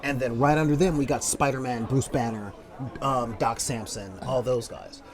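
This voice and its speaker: A man's voice: mid-range voice, normal speed. A man talking emphatically and with strong opinions in a casual fan panel discussion. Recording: crowd chatter, wind on microphone.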